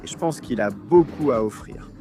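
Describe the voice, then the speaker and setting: A man's voice: mid-range voice, lively intonation, moderate pace, over music. A man from Colombia giving an earnest, enthusiastic interview testimony.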